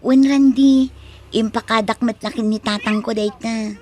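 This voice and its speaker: A woman's voice: fairly high pitch, fairly even intonation, normal speed, a little mellow, close to an everyday voice. A woman with a Filipino accent playing an earnest, respectful young daughter in an audio drama. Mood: grateful. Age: young adult. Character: earnest, grateful young daughter studying for teacher exam. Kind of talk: Ilocano audio drama acting. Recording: faint background noise.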